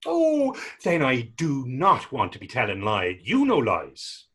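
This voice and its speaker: A man's voice: mid-range voice, huge pitch swings, unhurried, fairly bright tone, slightly rough, heavily acted. A man playing a naive, earnest young fellow in readers theater, speaking rambling, guileless old-fashioned dialect. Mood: innocent, evasive. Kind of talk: readers theater stage acting.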